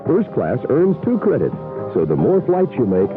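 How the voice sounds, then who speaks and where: A man's voice: mid-range voice, wildly swooping pitch, moderate pace, phone-quality sound. A man reading a scripted radio advertisement as a commercial announcer. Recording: thin old radio broadcast. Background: music.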